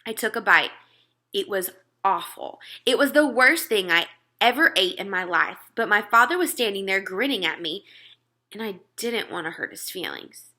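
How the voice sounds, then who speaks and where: A woman's voice: medium pitch, animated, moderate pace, sharp tone. A woman storyteller reading a children's book aloud as scripted narration.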